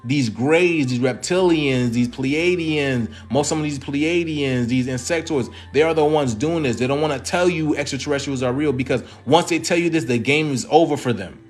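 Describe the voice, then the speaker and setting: A man's voice: fairly high pitch, normal speed, few pauses, faint music behind. A man hosting and reacting to a clip in casual, emphatic commentary, full of slang and repeated phrases, who says he is Haitian.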